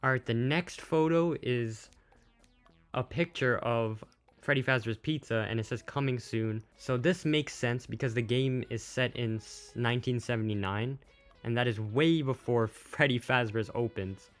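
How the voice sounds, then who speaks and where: A man's voice: medium pitch, unhurried. A man giving casual, off-the-cuff video commentary as a host, plain-spoken and a little unsure. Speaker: YouTube host, game news commentator. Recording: music playing behind the voice.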